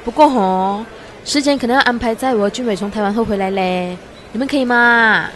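A woman's voice: a little high, fairly quick, fairly bright tone, slight background noise, natural voice. A young woman with a Malaysian Chinese accent playing a bubbly, coy, teasing university student in a radio drama. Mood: coy, cheerful. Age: young adult. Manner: coy, teasing, particle-heavy colloquial speech. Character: bubbly, playful 23-year-old female university student in love.

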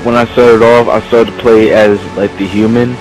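Young man, deep-ish voice, hesitant casual talker, music bed. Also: level tone, normal speed, poor audio. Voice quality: deeper voice. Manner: hesitant, lots of "like". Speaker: voice actor.

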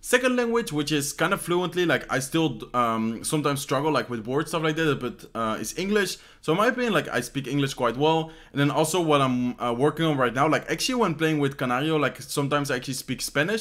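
Young Dutch-accented man, slightly high voice, relaxed rambling Q&A host, second-language English, home desk mic. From Netherlands. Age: young adult. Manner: rambling, many "like" fillers and "how you say this" searches. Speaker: esports player answering fan questions. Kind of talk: casual Q&A chat. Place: bedroom at home, indoors.

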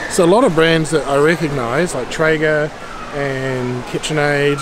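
A man's voice: fairly high pitch, a little slow. A middle-aged man, talking casually through a rambling shopping vlog while holding the camera. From Denmark. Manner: rambling, chatty price commentary. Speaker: vlogger. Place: large busy warehouse store. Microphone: handheld camera at arm's length. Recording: loud store background noise. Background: loud store crowd noise.

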